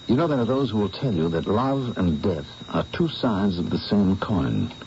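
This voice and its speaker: A man's voice: low-pitched, sing-song, normal speed, darkish tone. An actor playing a male painter who narrates his own story in an old radio drama, earnest and persuasive. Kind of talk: 1970s radio drama acting. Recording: dull old radio broadcast, faint hiss.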